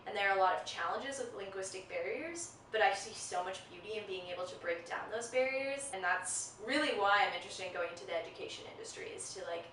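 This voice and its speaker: A woman's voice: mid-range voice, fast talker, few pauses, pushed and bright, echoey room, far from the mic, slight background noise, rough recording. An American woman in her twenties, a university student, talking earnestly and reflectively in an interview, with frequent ums and likes. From United States. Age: 20s.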